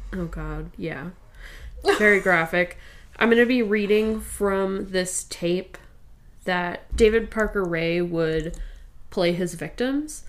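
A young woman podcast co-host in a home garage, telling a true crime story in a relaxed, chatty way. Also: mid-range voice. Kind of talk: casual chat and true crime narration.

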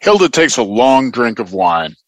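Animated man reading stage directions. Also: medium pitch, a little slow, no room sound. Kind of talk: scripted narration.

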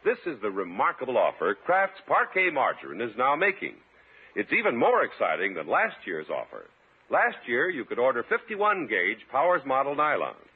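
A man's voice: medium pitch, huge pitch swings, normal speed, husky. A man announcing a 1950s radio commercial with an emphatic, enthusiastic salesman's delivery. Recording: tinny, muffled 1950s radio recording.

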